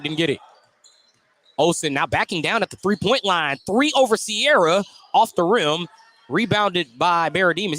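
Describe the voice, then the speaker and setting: An American man doing live radio play-by-play of basketball, with clipped running descriptions and drawn-out calls on baskets. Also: fairly high pitch, bright, forceful tone. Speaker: play-by-play commentator. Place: courtside in a basketball gym. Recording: some noise, gym ambience.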